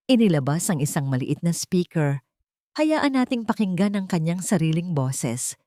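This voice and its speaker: A woman's voice: on the low side, big pitch swings, normal speed, mellow, no room sound, studio quality. A woman narrator reading a scripted melodrama as an audiobook, with dramatic storytelling delivery.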